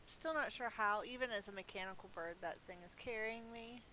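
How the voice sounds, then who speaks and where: A woman giving casual, offhand commentary to herself as she plays a video game, musing in short, unhurried remarks. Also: a little high, rough recording. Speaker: video game streamer narrating own play. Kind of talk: casual gameplay commentary, talking to self. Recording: hissy home recording, steady noise.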